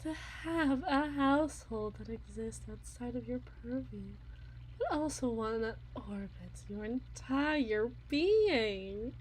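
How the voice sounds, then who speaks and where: A woman's voice: on the high side, lively intonation, slow pace, a little hiss or hum, poor audio. A woman playing a bitter, unhinged, anguished wife in a dramatic ASMR roleplay monologue, theatrical and moving between whispers and intense outbursts. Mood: resentful, sardonic.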